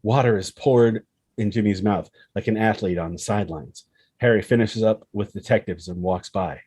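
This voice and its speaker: A male narrator reads screenplay action and scene headings. His voice is fairly low and raspy, recorded dry with no room sound. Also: moderate pace, a little mellow, dry recording. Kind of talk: scripted screenplay narration, reading stage directions.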